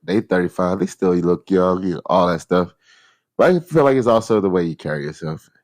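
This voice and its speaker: Laid-back deep-voiced Texan man. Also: brisk, darkish tone, no room sound. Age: 30s. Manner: laid-back, rambling, slangy. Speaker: podcast co-host. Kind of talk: casual chat.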